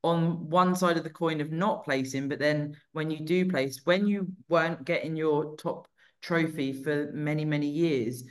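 A podcast host chatting casually with a guest, loose and relaxed, with the odd swear word. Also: medium pitch, unhurried. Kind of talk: casual conversational interview.

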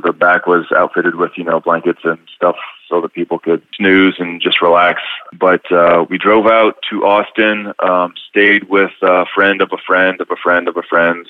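A deep-voiced middle-aged man calls in from a phone. He tells a long story in an unhurried, rambling way, with plenty of ums, and the line sounds tinny and dry. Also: no room sound. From Arizona. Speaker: caller. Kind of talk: storytelling on a call-in show.

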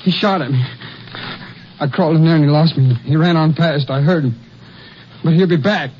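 High, panicky young logger played by a man. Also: moderate pace, lightly acted. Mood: frightened. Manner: panicky, stammering, pleading. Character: terrified, pleading young male logging hand. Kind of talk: 1950s western radio drama acting. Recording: dull, faintly noisy old radio recording.

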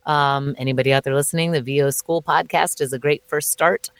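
A deep-voiced woman in her thirties talks casually as an interview guest, laughing and doubling back on her words. Her voice sits low and close, with a dry, dead sound around it. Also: fairly even intonation, brisk, no room sound. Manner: chatty, laughing, many fillers and restarts. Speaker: voice actor guest. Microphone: remote call.

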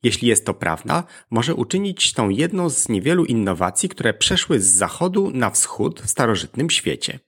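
Husky male podcast narrator with lively intonation. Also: mid-range voice, normal speed, few pauses. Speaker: podcast host. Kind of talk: scripted educational narration.

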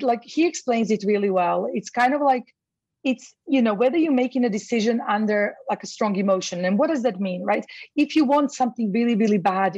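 A woman's voice: medium pitch, a little mellow, breathy edge, dry recording, dull audio. A woman from Croatia, a guest expert, talking through her ideas in a casual interview, with lots of "you know" and "um". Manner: thoughtful, many fillers like "you know".

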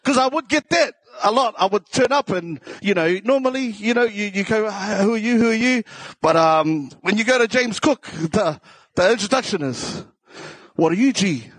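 High-pitched South Auckland man, joking. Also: lively intonation, normal speed, a bit bright, slightly rough, no echo. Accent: South Auckland New Zealand. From South Auckland, New Zealand. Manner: joking, self-deprecating, slangy. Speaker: comedian telling his story on stage. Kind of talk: casual comedic live storytelling.

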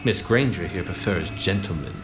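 An actor playing a cocky, bossy 1920s private detective in a noir radio comedy, with clipped wisecracking delivery. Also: male voice, low-pitched, expressive, moderate pace, over music. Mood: cocky, scolding.

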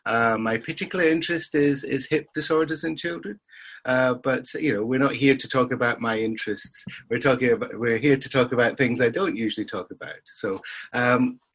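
An older man being interviewed on a podcast, his voice husky and of middling pitch. He talks in an anecdotal, conversational way and slips in dry humour. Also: brisk, a bit bright. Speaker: surgeon and podcast guest.

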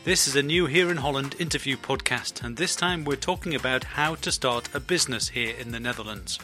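A man's voice: medium pitch, fairly quick, sharp tone, soft background music. A man hosting a podcast, introducing his guest and asking hesitant, friendly questions with fillers. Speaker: podcast host.

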